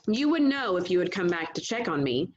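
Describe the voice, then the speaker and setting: A woman's voice: fairly low pitch, very animated, fairly quick, muffled recording, slight background noise. A woman voicing a defiant, impatient fifteen-year-old girl in a storybook, with a firm, sulky manner. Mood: spiteful.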